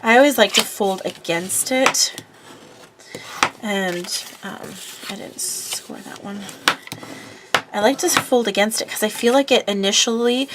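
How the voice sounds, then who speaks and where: A woman's voice: medium pitch, background noise. A woman with a congested voice from a cold, chatting casually and rambling with fillers while working at her craft desk. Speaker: papercraft video host.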